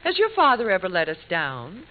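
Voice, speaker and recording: A woman's voice: lowish voice, huge pitch swings, fairly quick. An American actress playing a patient, loyal middle-aged wife and mother in an old radio comedy. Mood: firm, supportive. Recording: tinny, noisy vintage radio recording.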